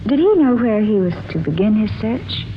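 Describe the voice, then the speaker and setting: Through a dull, clearly noisy vintage radio recording comes an actress as a devoted, adventurous wife. She has a medium-pitched voice and speaks with the poised, theatrical manner of 1940s radio drama. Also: sing-song, normal speed, close to an everyday voice. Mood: tender, eager.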